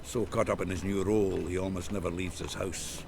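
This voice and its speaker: An actor playing a gruff, earthy, hot-tempered male rogue in a fantasy video game, gravelly and blunt, full of colourful slang. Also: low voice, steady tone, fairly quick, noisy background. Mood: blunt, scheming.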